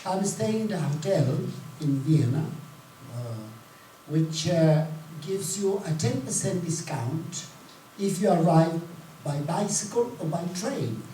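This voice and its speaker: Man from Chile, panelist, explanatory with fillers, mellow voice, far from the mic in an echoey hall. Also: a little high, lively intonation, slow pace. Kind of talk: panel discussion answers. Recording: echoey hall, distant mic, faint noise.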